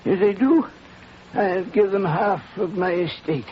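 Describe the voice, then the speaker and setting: A man playing an old, ailing, remorseful playwright in a 1940s radio drama, confessing slowly and weightily on his deathbed. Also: high voice. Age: older. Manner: slow, confessional, weighty delivery. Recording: muffled, hissy old radio recording.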